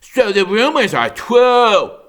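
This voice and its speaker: A mocking young she-bear from a children's storybook, acted out by a man. The voice jumps high and loud, sneering and taunting with lively swings, like a bully sure of winning. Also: slow pace, heavily acted. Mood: mocking glee. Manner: taunting, laughing, snarling.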